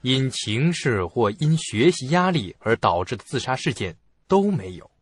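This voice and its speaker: A man's voice: mid-range voice, very animated, moderate pace, breathy edge, dry recording. A man narrating a suspense radio drama in scripted storytelling style.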